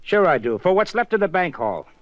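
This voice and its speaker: An eager, easygoing detective sidekick whose voice jumps around a lot in pitch. He sounds upbeat and casual. The recording is dull, like an old radio broadcast. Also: very animated, brisk, a bit bright, no echo. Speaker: police detective. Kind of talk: 1950s radio drama acting.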